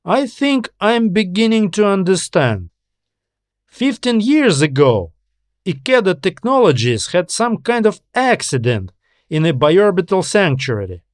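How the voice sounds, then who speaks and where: A man's voice: high voice, very animated, measured pace, no room sound, studio quality, pitched above the performer's own voice. A man voicing a shrewd, thoughtful manager who questions and ponders in audiobook dialogue. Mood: pondering, knowing. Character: shrewd male manager, thoughtful history-loving collector.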